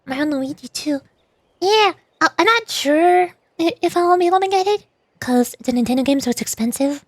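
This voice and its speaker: A woman's voice: high voice, lively intonation, a little fast, no echo. A woman livestreamer chatting casually to viewers, rambling and thinking aloud as she goes.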